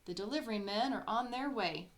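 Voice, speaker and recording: Woman doing a high, excitable prize caller. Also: brisk, lightly acted. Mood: excited.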